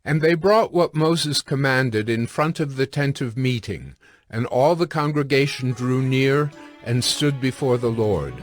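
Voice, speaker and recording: A man narrating scripture from a script in a measured, solemn manner. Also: mid-range voice. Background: music.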